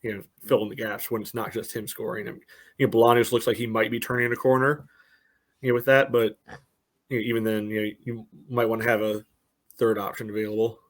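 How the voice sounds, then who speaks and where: A man's voice: on the low side, little pitch movement, quick pace. A man co-hosting a sports podcast, giving casual analysis in a loose way peppered with filler phrases. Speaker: podcast co-host.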